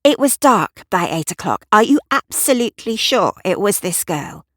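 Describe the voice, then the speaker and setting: A brisk policewoman with steel in her, played by a woman narrator, questions a witness in an audio drama. She is direct and businesslike, lifting her voice as she presses, in a dry recording. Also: mid-range voice, sing-song, a bit bright, dry recording, pitched above the performer's own voice. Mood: brisk, probing.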